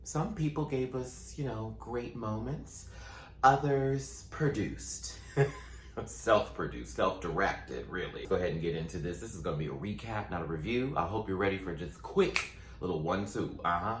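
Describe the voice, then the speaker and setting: Guy who just rolled out of bed, low groggy voice, sassy quips, bit of room echo, faint hiss. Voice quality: voice sitting lower than his normal. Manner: sassy, playful, quick asides. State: just woke up, morning voice. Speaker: vlogger and show recapper. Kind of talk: casual chatty recap rant.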